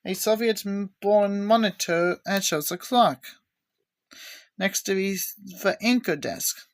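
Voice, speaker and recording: A woman giving a casual, unscripted show-and-tell with halting, rambling delivery. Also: medium pitch, dry recording, clean studio sound. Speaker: video maker showing cassette tapes.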